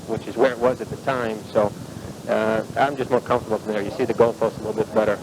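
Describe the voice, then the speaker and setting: Through a noisy, rumbling radio feed comes a young American man giving a post-game interview. He talks quickly in a fairly low, even voice with little rise or fall. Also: vocal fry. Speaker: professional football player interviewed after the game.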